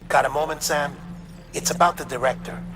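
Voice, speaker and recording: A man playing an earnest, analytical investigator in a video game, giving a serious, measured report to a colleague. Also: a little high, fairly even intonation, bright, forceful tone. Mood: urgent, puzzled. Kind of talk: scripted dramatic game dialogue.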